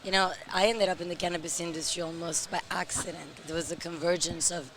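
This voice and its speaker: A middle-aged woman from Europe, hoarse and tired-voiced, answering interview questions slowly and in a measured way. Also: fairly low pitch, fairly even intonation, fairly bright tone, a little hiss or hum. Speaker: interview guest, company founder. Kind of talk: casual interview.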